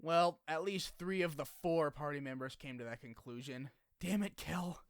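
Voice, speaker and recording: A man hosting a video essay, reading a scripted game story recap with informal, animated asides and some swearing. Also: a little high, normal speed, background noise. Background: music under the voice.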